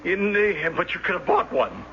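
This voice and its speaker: An actor playing a soft-hearted banker in a radio drama, with a hesitant, stammering, earnest delivery. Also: male voice, sits high, sing-song, normal speed, gravelly, heavily acted. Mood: anxious doubt. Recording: dull, hissy vintage radio drama recording.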